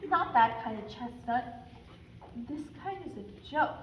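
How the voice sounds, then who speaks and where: A woman's voice: on the high side, expressive, unhurried, highs cut off, slight background noise. An actress playing Eve, the playful first woman, teasing and giggly in a stage musical. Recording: roomy, distant stage sound.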